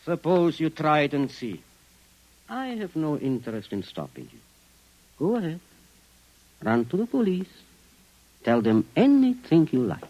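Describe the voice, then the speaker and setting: An actor playing a calm, mocking Venusian invasion leader with formal, suave speech in a 1950s radio drama. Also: male voice, a little high, unhurried, long pauses, no echo. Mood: mocking, daring. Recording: muffled vintage radio recording.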